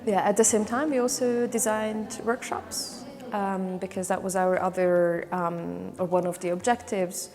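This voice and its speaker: Slow-talking Hungarian-born woman volunteer trainer. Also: medium pitch, fairly even intonation, darkish tone, big-room sound. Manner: thoughtful, many fillers and restarts. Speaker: volunteer trainer and career counselor. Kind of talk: testimonial interview.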